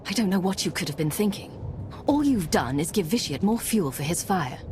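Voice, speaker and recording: An actress as a stern secret agent commander in a sci-fi game. Her low voice is curt and commanding, set against clearly noisy game ambience. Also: animated, fairly quick, lightly acted. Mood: skeptical. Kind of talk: video game dialogue acting.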